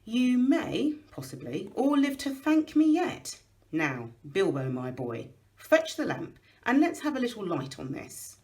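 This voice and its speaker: A woman's voice: fairly high pitch, big pitch swings, unhurried, a little mellow, pitched above the performer's own voice. A woman voicing a commanding old male wizard, wry and knowing, with emphatic, declamatory delivery in a storybook reading.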